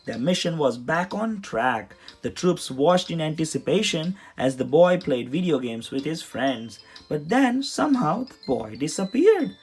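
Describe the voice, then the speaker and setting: A man's voice: a little high, very animated, measured pace, few pauses, slightly rough. A man narrating a children's storybook as a read-aloud. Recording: music behind the voice.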